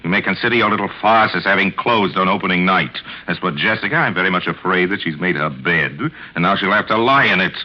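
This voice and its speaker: A man's voice: low-pitched, fast talker, hardly any pauses. An actor in 1940s radio style playing a flippant, pompous theater man, theatrical and florid in his drawling sarcasm. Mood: haughty. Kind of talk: 1940s radio acting and narration. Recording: muffled old radio broadcast recording.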